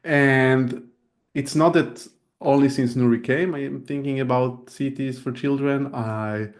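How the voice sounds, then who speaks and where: A man in his thirties, an urban planner, giving a relaxed presentation over a video call with frequent fillers. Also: mid-range voice, measured pace. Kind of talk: casual presentation.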